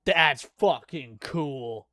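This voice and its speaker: A man's voice: fairly high pitch, animated, drawn-out words, sharp tone, no echo, studio quality. A man hosting a livestream, giving casual, informal reaction commentary. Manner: informal, swearing. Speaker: livestream host.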